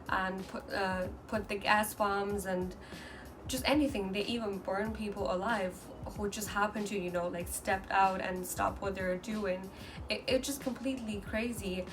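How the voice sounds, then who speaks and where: Distant woman, earnest vlogger. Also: medium pitch. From mainland China. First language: Mandarin. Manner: earnest, halting, with frequent restarts. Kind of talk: casual personal vlog. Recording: distant mic with clear background noise.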